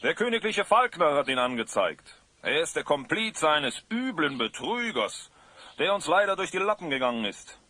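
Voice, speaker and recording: A man playing a gruff, indignant coachman and jailer in an audio drama, complaining and blustering. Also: a little high, very animated, moderate pace, sharp tone, no echo. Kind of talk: German audio drama acting.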